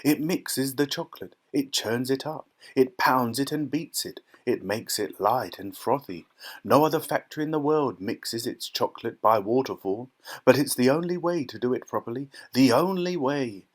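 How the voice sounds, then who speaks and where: An eccentric, excitable factory owner from a children's storybook, acted out by a man. He is exuberant and flamboyant. He shows off like a showman and repeats things for emphasis. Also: medium pitch, moderate pace, natural voice. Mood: gleeful showing off.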